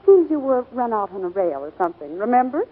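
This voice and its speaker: A teasing, practical medicine-show performer in an old radio drama. Her delivery is brisk and wry, with very animated pitch. The recording is thin and tinny. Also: slightly rough. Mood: wry, caring. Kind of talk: 1940s radio acting.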